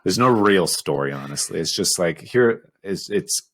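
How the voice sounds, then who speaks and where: A man's voice: low voice, lively intonation, normal speed, creaky, fairly bright tone. A man co-hosting a podcast, chatting casually and informally about films. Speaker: podcast co-host. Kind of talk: casual film podcast chat.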